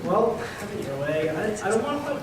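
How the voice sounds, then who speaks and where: A woman's voice: mid-range voice, very animated, moderate pace, lightly acted. Female actor as blustering, wisecracking newborn baby for stage comedy. Swooping delivery, heard from far off. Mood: nervous. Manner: blustering, know-it-all, wisecracking. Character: cocky, stubborn newborn baby, sure it's a girl. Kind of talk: readers theater comedy dialogue on stage. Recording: echoey hall, far mic, clear noise.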